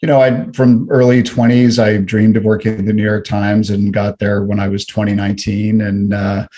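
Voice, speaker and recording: A man's voice: fairly low pitch, flat delivery, moderate pace, dark tone. A middle-aged man from Canada, a guest in an interview, talking reflectively with frequent fillers and restarts. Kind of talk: conversational interview.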